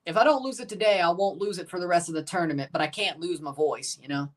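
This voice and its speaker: A man's voice: sits high, fast talker, distant mic. Chatty man calling a live match. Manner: chatty, rambling, calls goals excitedly. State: guarding a strained voice. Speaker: streamer hosting a match watchalong. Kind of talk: live casual match commentary.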